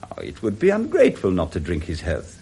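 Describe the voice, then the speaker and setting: A jolly british victorian gent, old radio play, husky, pitch bouncing all over. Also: male voice, a little fast, exaggerated voice. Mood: forgiving, jovial. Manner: jovial, animated, chuckling. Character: good-humoured, warm-hearted Victorian gentleman, a forgiving nephew. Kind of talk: 1960s British radio drama acting.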